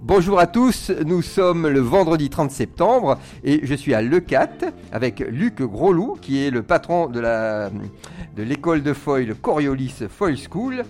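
A man's voice: fairly high pitch, sing-song, unhurried, a little mellow, soft background music. A man hosting an informal radio interview, putting questions to his guest as the interviewer.

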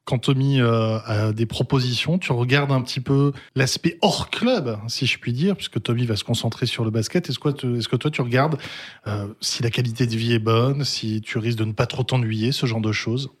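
A man's voice: mid-range voice, normal speed. A man hosting a French radio interview, asking friendly questions with a joking touch. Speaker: radio show host.

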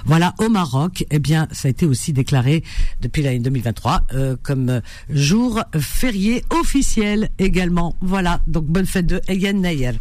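A woman's voice: low-pitched, expressive, moderate pace, dry recording. Chatty woman radio host, dry studio. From Algeria. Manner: warm chatty delivery with many fillers and repetitions. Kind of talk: radio classified-ads show, chatty.

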